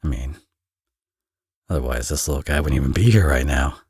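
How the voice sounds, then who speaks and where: A man playing a teasing villain who is a tender father, in an intimate ASMR audio roleplay, with a husky, breathy, soft-spoken delivery. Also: rumbling low, brisk, no room sound, studio quality. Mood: flirty, teasing.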